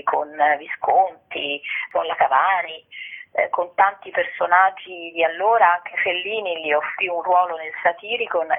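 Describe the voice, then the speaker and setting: A woman's voice: low voice, vocal fry, slightly rough. A woman, an actress and playwright, explaining her work reflectively in an interview, searching for words and adding little tag questions. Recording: tinny phone line on radio.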